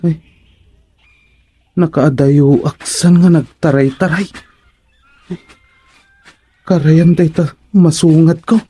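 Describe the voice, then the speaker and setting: An actor with an Ilocano accent playing a timid, whining soldier in a radio comedy, full of sighs and wails. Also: male voice, a little high, fairly even intonation, slow pace, long pauses, warm tone, husky, faint music behind. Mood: anxious, whining. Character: timid, cowardly, whining male soldier serving his king.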